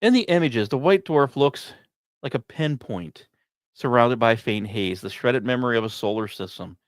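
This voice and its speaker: A man hosting a science podcast, reading scripted narration in a measured storytelling way with deliberate pauses. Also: medium pitch, lively intonation, no room sound, studio quality. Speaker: podcast host.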